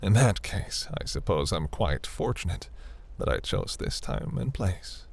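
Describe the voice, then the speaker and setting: Man voicing a deep, gravelly, seductive demon prince. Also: sing-song, unhurried, darkish tone, heavily acted. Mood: intrigued. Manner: velvety, teasing, grandiose. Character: seductive, imperious demon prince, ageless and flirtatious. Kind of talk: scripted romantic fantasy audio roleplay.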